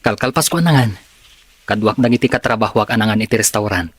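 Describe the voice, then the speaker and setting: A man's voice: fairly low pitch, quick pace, husky, no room sound. An actor playing an evasive, matter-of-fact working man in an Ilocano radio drama. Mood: casual.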